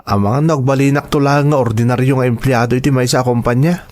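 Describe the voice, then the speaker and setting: A man's voice: mid-range voice, steady tone, fast talker, darkish tone, close to an everyday voice. An actor playing an earnest, insecure young Ilocano man who has just graduated, in a radio drama. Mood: wistful, anxious. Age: young adult.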